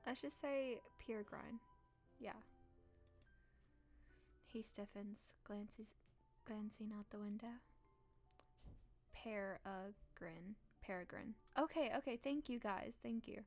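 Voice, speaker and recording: A woman live streamer reading a visual novel aloud in a playful, expressive way with casual reactions. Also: mid-range voice, slow, pauses often, soft background music.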